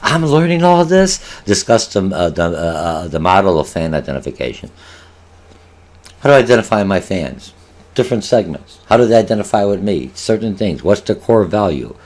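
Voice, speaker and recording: Low-voiced older man lecturing, Polish native. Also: sing-song, moderate pace, a little mellow. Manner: rambling, many filler sounds and restarts, rhetorical questions. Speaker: college marketing instructor. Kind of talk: online lecture over slides. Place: home office, indoors.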